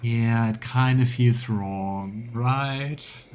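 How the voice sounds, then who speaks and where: A man's voice: mid-range voice, drawn-out words, a little mellow, slight background noise. A man giving casual gaming commentary as a let's play streamer. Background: game music.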